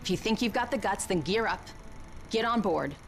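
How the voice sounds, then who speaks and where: An actress playing a bold, fearless airborne soldier, recruiting with hard-edged confidence in a video game film. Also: female voice, medium pitch, lively intonation, moderate pace, faint music behind, close to an everyday voice. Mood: bold, defiant. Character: tough, fearless American airborne paratrooper recruiter. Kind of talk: military recruitment film narration.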